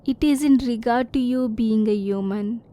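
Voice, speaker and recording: A woman voicing a curious, reserved female alien in an ASMR roleplay, speaking softly in formal, stilted phrases, at times close to a whisper. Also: fairly high pitch, normal speed, natural voice. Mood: curious, composed. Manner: soft-spoken, sometimes near whisper.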